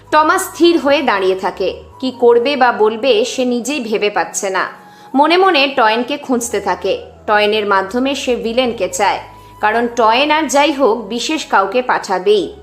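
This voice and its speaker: A woman's voice: fairly high pitch, fairly bright tone. A woman narrating a scripted dramatic story as its storyteller. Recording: roomy echo with music underneath.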